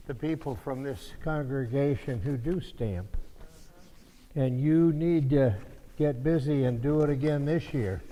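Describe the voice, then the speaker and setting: A man giving informal closing thanks in a halting, folksy way, as a church speaker. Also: mid-range voice. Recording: echoey hall with long reverb.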